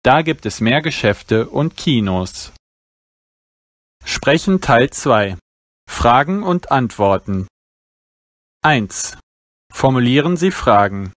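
Slow, careful male reader. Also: mid-range voice, animated, no room sound, clean studio sound. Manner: slow, careful, clearly articulated reading. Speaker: German teacher. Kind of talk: reading exam practice texts aloud.

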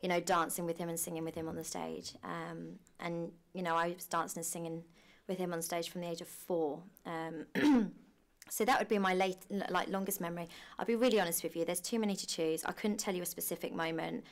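Young woman, low creaky voice. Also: fairly even intonation, fairly quick. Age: 20s. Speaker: pantomime cast member interviewed. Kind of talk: casual documentary interview. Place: small backstage costume room, indoors.

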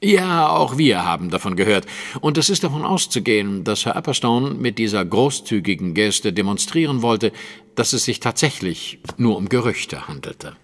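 An actor playing a shrewd, self-assured detective who explains things precisely in a German audio drama. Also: male voice, on the low side, sing-song, moderate pace, fairly bright tone, big-room sound, heavily acted. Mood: precise, lecturing. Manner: precise, lecturing, deductive.

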